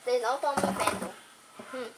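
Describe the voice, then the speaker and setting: A young girl with a high voice chats her way through a homemade craft tutorial, talking to her viewers as she cuts and draws. The recording is noisy, with chickens and a rooster clucking and crowing in the background. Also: fairly quick. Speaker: crafts vlogger.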